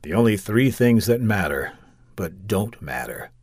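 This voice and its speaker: A man's voice: lowish voice, expressive, a little slow, darkish tone, husky, dry recording, studio quality, heavily acted. A man voicing a nervous, petulant and lonely male client, hesitant and scoffing, in an audiobook short story. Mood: bleak, resigned.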